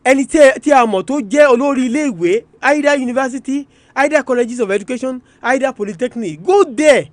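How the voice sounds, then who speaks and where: A man's voice: very high voice, quick pace, few pauses, no echo. A man with a Nigerian Yoruba accent hosting an educational radio talk, speaking emphatically to listeners and repeating his points. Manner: emphatic, repetitive, addresses listeners directly. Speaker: radio programme host. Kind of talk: live educational radio talk, switching between English and Yoruba.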